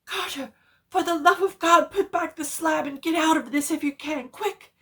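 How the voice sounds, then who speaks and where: A woman's voice: sits high, moderate pace, bright, forceful tone, pitched above the performer's own voice. A woman voicing a terrified man trapped underground, stammering and calling out frantically in a horror story. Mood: frantic urgency. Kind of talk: dramatic horror reading.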